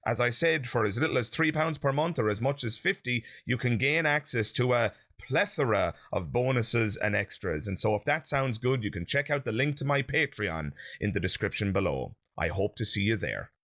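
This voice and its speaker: A man's voice: mid-range voice, lively intonation, normal speed, hardly any pauses, fairly bright tone. Male host, chatty direct-to-camera commentary, chesty and sniffly from an infection. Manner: chatty, direct address to viewers. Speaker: YouTube channel host. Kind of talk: opinion commentary monologue.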